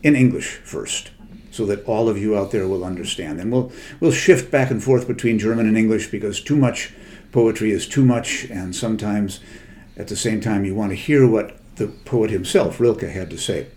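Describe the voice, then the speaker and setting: A man's voice: lowish voice, normal speed, darkish tone, slight background noise. Older man, thoughtful and digressive. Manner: thoughtful, digressive, many hesitations and self-corrections. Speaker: literary translator and guest speaker. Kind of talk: conversational lecture.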